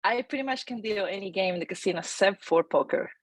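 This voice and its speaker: A woman, telling her story as an interview guest, energetic with many fillers and restarts. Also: mid-range voice, fast talker, a crowd in the background. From Chile. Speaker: real estate agent, guest.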